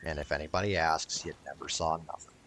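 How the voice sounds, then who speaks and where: A man's voice: a bass voice, fairly even intonation, fast, fairly bright tone, slight background noise. A man giving casual, rambling playthrough commentary as a gaming YouTuber, jokey and sweary.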